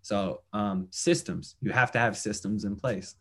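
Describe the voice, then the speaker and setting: A young man with a lowish voice hosting a casual podcast. He chats in a friendly, loose way, full of fillers and easy affirmations. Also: steady tone, unhurried. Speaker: podcast host. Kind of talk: casual interview chat.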